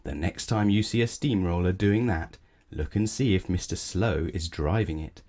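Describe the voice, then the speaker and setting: A man reading a children's story aloud in an expressive, measured storytelling manner, with chatty asides to the listener. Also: sits low. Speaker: storybook reader. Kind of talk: children's read-aloud narration.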